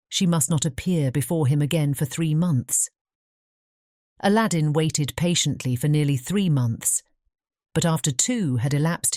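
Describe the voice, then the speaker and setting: Low-pitched, mellow woman narrating a storybook. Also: no room sound, studio quality. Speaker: audiobook narrator.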